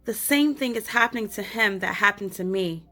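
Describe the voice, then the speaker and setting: A woman with a slightly worn voice reading aloud as a chatty young girl who has shrunk, in a lively storybook style. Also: medium pitch, normal speed, slight background noise. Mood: storytelling. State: losing her voice.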